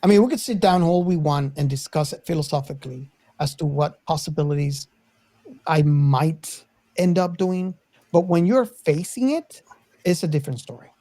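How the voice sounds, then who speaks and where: Mellow man hosting a film discussion. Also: on the high side, normal speed, no echo. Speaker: podcast host.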